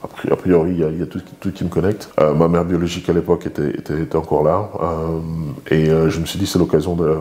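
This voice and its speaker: A man's voice: low voice, little pitch movement, fast, few pauses, mellow. A man in his forties from Congo, answering interview questions thoughtfully, with many hesitations and repeated words. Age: 40s. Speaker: guest, father of the host. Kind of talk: relaxed interview talk.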